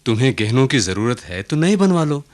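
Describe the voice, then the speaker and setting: A man's voice: mid-range voice, sing-song, a little fast, natural voice. An actor playing an affectionate but firm middle-aged merchant, a husband and father, in a radio play. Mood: firm warning. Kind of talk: Hindi radio play acting.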